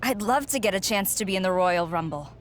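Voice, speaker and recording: A woman's voice: medium pitch, lively intonation, a little fast, slight background noise, natural voice. A woman playing a determined, eager pro wrestler in conversational video game cutscene dialogue.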